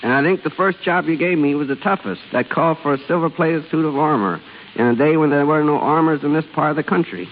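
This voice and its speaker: Slightly high man, scripted old radio guest. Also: fast. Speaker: studio wardrobe director, guest. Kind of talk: scripted 1930s radio interview. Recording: hissy, tinny, echoey old radio broadcast.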